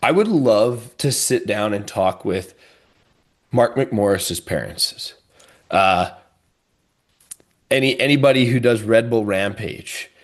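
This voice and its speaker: A man's voice: lowish voice, lively intonation, takes it slowly, long pauses. A man co-hosting a podcast, talking in an emphatic, expansive way and thinking aloud as he goes. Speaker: podcast co-host. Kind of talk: casual podcast chat.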